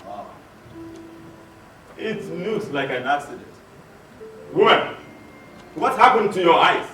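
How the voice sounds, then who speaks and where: Man acting a generous gentleman in echoey hall. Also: a little high, animated, slow pace, soft background music, pitched above the performer's own voice, full character voice. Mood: curious. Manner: emphatic, reassuring, projected delivery. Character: generous, important gentleman, benefactor of beggars. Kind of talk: theatrical one-man stage acting. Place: hotel hall stage. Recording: distant echoey stage recording, faint noise.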